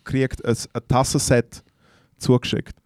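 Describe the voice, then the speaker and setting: A man's voice: mid-range voice, level tone, unhurried, a little mellow, no room sound, studio quality. A young Swiss man with a Swiss German accent, chatting casually as a podcast co-host and telling slangy, laugh-filled stories. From Switzerland. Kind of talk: casual chat.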